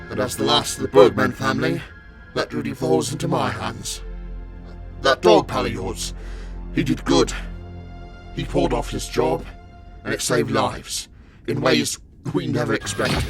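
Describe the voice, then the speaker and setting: Audio drama security man , male actor: dry, dutiful, mid-pitched, with prominent music underneath. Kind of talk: fan audio drama acting. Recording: music behind the voice.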